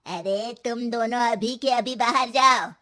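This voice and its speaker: A woman playing a bossy, fearless elderly mother-in-law in an animated story, sassy and scolding. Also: fairly high pitch, slow pace, faint music behind. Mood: defiant. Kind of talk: Hindi animated story dialogue.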